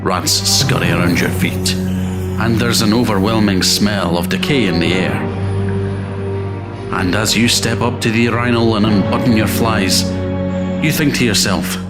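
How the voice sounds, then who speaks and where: A man's voice: lowish voice, unhurried, pauses often. A man presenting a scripted podcast trailer like a radio personality. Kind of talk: scripted promotional trailer for a comedy horror podcast. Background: loud music.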